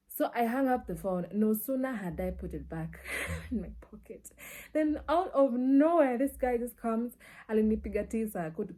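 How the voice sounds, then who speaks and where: A woman with a Kenyan accent telling personal stories casually and expressively, slipping between languages and acting out dialogue. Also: fairly low pitch. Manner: expressive, acts out dialogue and reactions. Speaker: media personality and storyteller. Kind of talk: casual personal storytelling, mixing in Swahili.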